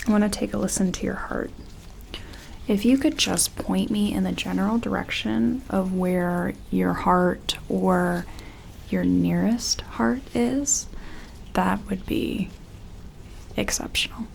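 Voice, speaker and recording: Slow, soft-spoken whispery ASMR woman. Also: medium pitch, creaky, slight background noise. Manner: gentle, hesitant, reassuring clinician talk. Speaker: ASMR performer playing a doctor examining an alien. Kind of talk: ASMR medical roleplay.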